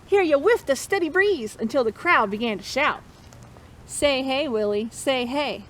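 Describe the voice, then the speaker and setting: Woman, high voice, interactive picture-book read-aloud for kids, encouraging; slightly noisy recording. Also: expressive, a little slow, a bit bright. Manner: encouraging, chanting refrains for listeners to repeat. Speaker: storytime host reading a picture book to children.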